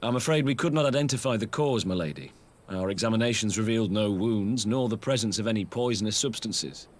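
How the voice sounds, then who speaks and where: A man's voice: lowish voice, fairly quick, close to an everyday voice. A man playing a grave, deferential healer in a video game, reporting in formal language. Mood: grave, apologetic. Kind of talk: scripted video game cutscene acting.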